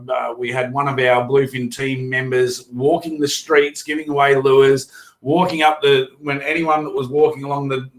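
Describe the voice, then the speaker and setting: A man with an Australian accent hosting a casual fishing show, his voice hoarse, talking in a rambling, chatty way with many fillers and restarts. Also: mid-range voice, low-quality recording. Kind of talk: casual live stream chat.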